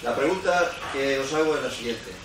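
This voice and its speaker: A man's voice: mid-range voice, expressive, moderate pace. A man from Barcelona with a Peninsular Spanish accent asking a spontaneous, rambling question as an audience member, often repeating himself. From Barcelona, Spain. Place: conference hall. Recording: distant, very echoey, noisy hall sound.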